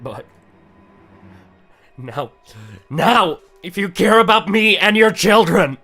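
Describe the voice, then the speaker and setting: A man voicing a hysterical grieving mother in a visual novel, shrill, desperate and pleading. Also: lively intonation, takes it slowly, pushed and bright, faint music behind, exaggerated voice. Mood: frantic pleading. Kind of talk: dramatic visual novel character acting.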